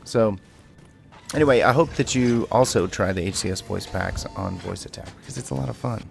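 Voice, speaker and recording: A man's voice: lowish voice, fairly quick, gravelly, slight background noise. A man giving casual, playful gameplay commentary as a video host, bantering as he goes.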